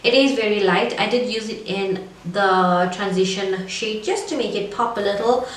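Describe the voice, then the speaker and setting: A woman's voice: mid-range voice, normal speed. A woman with a cold and a scratchy, congested voice, chatting casually through a makeup review in a rambling way. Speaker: beauty vlogger. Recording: echoey room, far from mic, faint noise.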